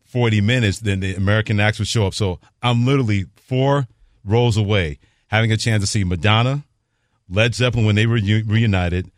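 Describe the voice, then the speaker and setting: Low-pitched male sports radio host. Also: brisk, no room sound, clean studio sound. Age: middle-aged. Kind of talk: casual sports talk radio banter.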